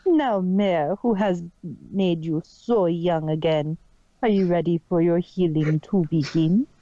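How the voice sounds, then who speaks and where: A man voicing a kindly, polite adult female zebra healer with a formal, measured manner. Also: sits high, warm tone, no room sound, dull audio, pitched above the performer's own voice. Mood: warm, courteous.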